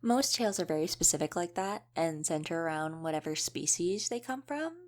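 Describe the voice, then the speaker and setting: A woman's voice: fairly low pitch, animated, a little fast, darkish tone, lightly acted. A woman playing an easygoing young female wolf shifter in a casual audio roleplay, chatting and explaining things informally. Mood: relaxed explaining.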